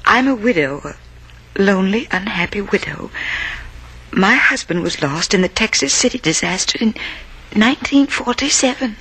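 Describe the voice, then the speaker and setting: A woman playing a lonely, middle-aged widow in an old radio drama, earnest, halting and imploring. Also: mid-range voice, animated, a bit bright. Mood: sorrowful, desperate. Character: lonely, pleading, well-off widow no longer young. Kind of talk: 1940s-50s radio drama acting. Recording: muffled, husky old radio recording.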